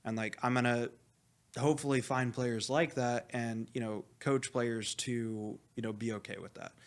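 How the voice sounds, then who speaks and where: Animated, blunt male coach, talking candidly. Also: mid-range voice, normal speed. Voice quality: loud voice. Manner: animated, rambling, heavy use of "like"; blunt, swears freely. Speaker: esports coach being interviewed. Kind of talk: casual, candid interview talk.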